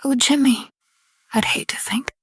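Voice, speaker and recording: A woman's voice: high-pitched, steady tone, measured pace, slightly rough, dry recording, in a whisper, studio quality. A woman playing a proud, irritated, slangy nightclub owner in a noir radio drama. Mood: indignant, suspicious. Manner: sassy, slangy, commanding. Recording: loud music under the voice.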